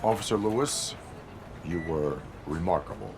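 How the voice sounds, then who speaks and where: An actor playing a stoic, polite cyborg police officer with measured, formal, robotic delivery in a video game. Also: male voice, low-pitched, darkish tone, a little hiss or hum, natural voice. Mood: flat, calm.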